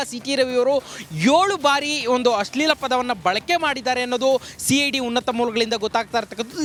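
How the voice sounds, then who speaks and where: A male news reporter speaks live to the studio in a strikingly high-pitched voice. He explains in wordy, formulaic phrases. There is faint ambient noise on the link. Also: a bit bright.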